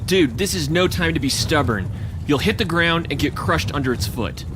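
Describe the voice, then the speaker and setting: A man's voice: on the high side, lively intonation, normal speed, background noise, lightly acted. An actor playing an earnest young hero with robot arms, awkwardly polite with his teammates.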